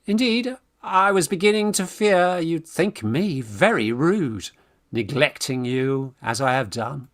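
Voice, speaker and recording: An actor playing a flustered young Victorian gentleman in a radio farce, British, stammering and exclamatory, eager in courtship and bossy with friends. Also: a little high, lively intonation, slow pace. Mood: nervous, wooing. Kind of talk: stage farce acting for radio play.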